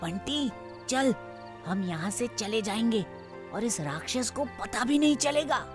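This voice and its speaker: A woman's voice: on the high side, lively intonation, measured pace, over music. A woman voicing a confident, bossy young schoolboy in a children's cartoon, assertive and childlike. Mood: reassuring.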